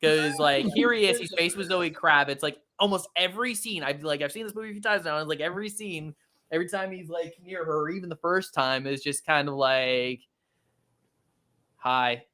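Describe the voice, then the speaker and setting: A man casually discussing a film, halting and repeating words, jokingly acting out little lines as he goes. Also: a little high, sharp tone. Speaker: movie review show host. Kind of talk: casual chat, movie discussion.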